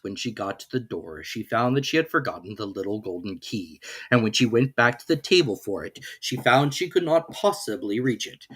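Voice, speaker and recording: A storybook narrator with a lively, widely moving voice reads a children's classic. The delivery is theatrical and expressive, with an occasional small stumble over a word. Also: mid-range voice, husky.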